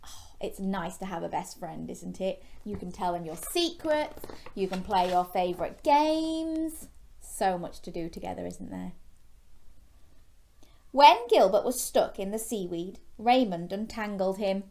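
A young woman reading a children's storybook in a gentle, expressive way, chatting with young listeners through questions. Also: mid-range voice, measured pace, creaky, slight background noise. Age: young adult. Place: small bedroom, indoors.

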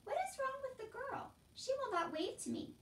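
A woman's voice: very high voice, animated, moderate pace, echoey room, far from the mic, pitched above the performer's own voice. A woman voicing a prim, entitled little princess with childlike, proper diction, as in a storybook reading. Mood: indignant.

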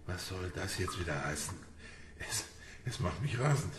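An actor playing a desperate, enraged banker held captive in a radio crime drama, tense and agitated. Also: male voice, deep voice, animated, moderate pace. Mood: frayed. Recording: echoey room, faint background noise.

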